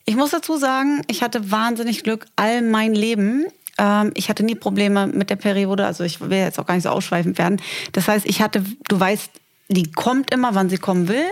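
Female podcast co-host, thirties, mid-pitched voice, candid and chatty, casual storyteller who turns aside to address listeners. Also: moderate pace. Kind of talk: frank, casual conversation.

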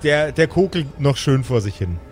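A man's voice: mid-range voice, lively intonation, moderate pace, faint music behind. A man narrating an improvised tabletop fantasy game as game master, often repeating words as he searches for the next phrase.

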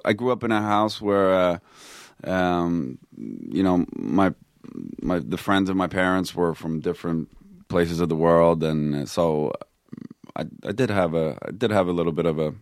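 A middle-aged man from Sweden, a native Swedish speaker, talking as an actor guest in a casual interview, pausing and restarting often. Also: sits low, dry recording, studio quality. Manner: halting, many restarts and fillers.

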